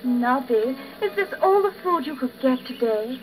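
A performer voicing a gently reproachful, nagging female nightingale, a wife in a children's story record. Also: high-pitched, expressive, normal speed, faint music behind. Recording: noisy old 78rpm gramophone record.

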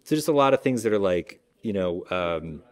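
A man informally demonstrating software in a conversational, explanatory way. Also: sits low, expressive, moderate pace. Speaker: audio software company representative giving a demo.

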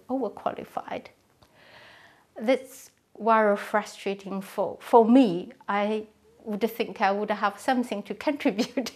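An older woman speaks slowly, in a mid-pitched voice. She is frank and measured, with a rueful edge. It is an indoor documentary interview.

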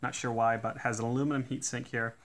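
A man doing a casual hands-on teardown at a workbench, talking in a relaxed way with lots of "uh" fillers. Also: medium pitch, quick pace. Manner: relaxed, many "uh" fillers, technical detail. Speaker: DIY tool reviewer. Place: indoors at a workbench.

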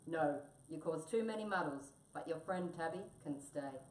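A woman's voice: sits low, moderate pace, darkish tone, far from the mic, natural voice. A woman voicing a stern, dismissive circus headman in a children's storybook, blunt and bossy. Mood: displeased. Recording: roomy echo, faint background noise.

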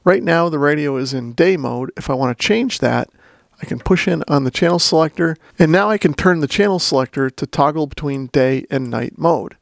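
A man's voice: on the high side, normal speed, gravelly, no room sound, studio quality. A man giving a plain-spoken, methodical step-by-step walkthrough as a product reviewer. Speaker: radio reviewer and demonstrator.

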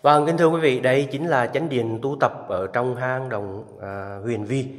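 A man's voice: medium pitch, normal speed. A man giving an enthusiastic guided tour to viewers, inside a limestone cave. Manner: addresses viewers often, enthusiastic. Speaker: travel vlogger guiding viewers. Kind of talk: casual guided tour narration. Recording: echoey cave with long reverb.

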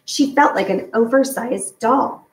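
Middle aged lady reading a kids book out loud, bit of vocal fry, echoey garage. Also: a little high, measured pace. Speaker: storyteller reading a children's novel aloud. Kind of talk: read-aloud narration of a children's book. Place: home garage, indoors. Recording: echoey room, distant mic.

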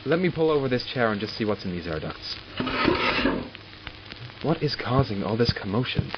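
A man's voice: medium pitch, sing-song, moderate pace, close to an everyday voice. Man playing an exasperated doctor, muffled noisy recording. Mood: exasperated, irritated. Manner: clinical, sardonic, self-talking. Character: arrogant, exasperated male doctor studying supernatural captives. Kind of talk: audio drama acting. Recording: muffled, with loud background noise.

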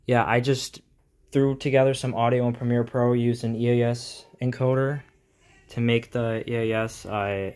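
Male hobbyist host, mid-pitched, casual and halting, talking through a how-to from behind a handheld phone. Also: a little mellow. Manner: halting, informal, explanatory. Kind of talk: casual tech tutorial vlog. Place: small indoor room at home.

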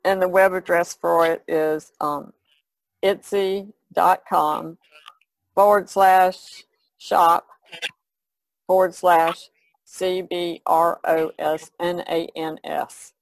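A woman chats casually as a podcast co-host. Her speech is slow, with a gravelly creak running through it, and the recording is dry and close. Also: lowish voice, fairly even intonation, no room sound, low-quality recording.

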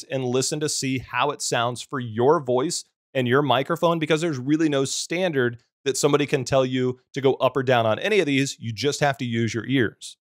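A man with a deep voice giving a relaxed, practical walkthrough of streaming audio settings. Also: dry recording, clean studio sound. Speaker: streaming tutorial host. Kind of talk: screen-recorded software tutorial.

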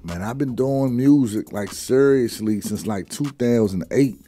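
A man's voice: medium pitch, measured pace, mellow. A young man from Afghanistan, a rapper guest, telling stories in loose slangy talk full of fillers, close on a studio mic. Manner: laid-back slangy storytelling, many fillers. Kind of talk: casual interview talk. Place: radio studio with branded backdrop. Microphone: studio mic close up, headphones on.

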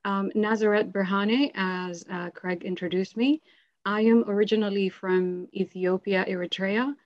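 A woman's voice: medium pitch, steady tone, normal speed, dry recording. A middle-aged woman from Sudan, a native Arabic speaker, speaking earnestly as a panelist on a video call with many fillers. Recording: dry, dull video-call audio.

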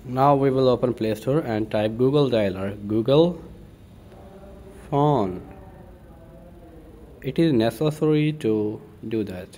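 A man presenting an informal step-by-step tech tutorial in a halting way, thinking aloud as he works. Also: mid-range voice. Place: indoors at a computer desk. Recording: steady room noise.

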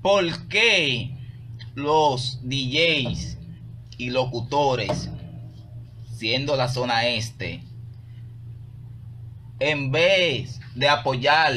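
A man's voice: medium pitch, fairly bright tone, a little hiss or hum. A man with a Dominican accent hosting a casual radio show, bantering with guests. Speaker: radio host. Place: small radio studio. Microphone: studio microphone.

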